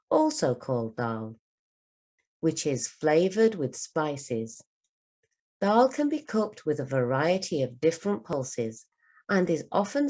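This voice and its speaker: Low-voiced woman reading aloud, unhurried. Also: mellow. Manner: careful, measured enunciation. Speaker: English teacher. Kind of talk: read-aloud of a children's book for learners.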